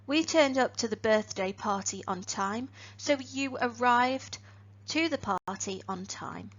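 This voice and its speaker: Woman, teacher, clear deliberate delivery, somewhat high voice, slightly muffled recording. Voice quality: clear, deliberate teacher's delivery, lifts each new phrasal verb. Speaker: English teacher. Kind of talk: online grammar lesson.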